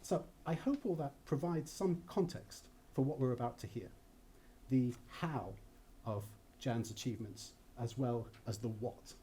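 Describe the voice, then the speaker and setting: A man giving a formal, prepared introductory speech as an academic colleague, in a measured and articulate way. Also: medium pitch, mellow, a little hiss or hum. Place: lecture hall at a university event.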